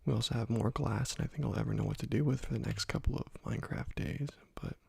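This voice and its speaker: Gravelly whispering young man. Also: mid-range voice, no echo, studio quality. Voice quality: soft whispering, often unvoiced. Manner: slow, relaxed rambling between quiet pauses. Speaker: let's play host. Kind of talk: ASMR gameplay commentary. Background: faint keyboard and mouse clicks.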